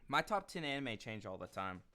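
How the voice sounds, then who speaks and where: A man live streaming a building game, chatting casually with his viewers and reacting with excited exclamations. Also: mid-range voice, fairly quick. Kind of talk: casual live-stream chat while gaming.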